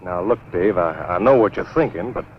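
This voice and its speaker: A man playing a smooth-talking, cowardly backwoods ladies' man with an American accent, in 1950s B-movie style. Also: deep voice, lively intonation, moderate pace. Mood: nervous pleading. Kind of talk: 1950s B-movie film acting. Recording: muffled, noisy old 1950s film soundtrack.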